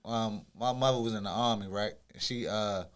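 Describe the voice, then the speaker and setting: A man speaking as a podcast guest in relaxed, slangy casual chat, laughing easily. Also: on the low side, normal speed. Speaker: podcast guest, rapper and producer.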